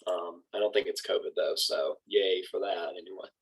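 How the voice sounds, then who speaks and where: A man's voice: sits low, fairly even intonation, normal speed, creaky, breathy edge. A man presenting to his class over a video call, sounding nasal from a cold and speaking hesitantly with many ums. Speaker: student presenter.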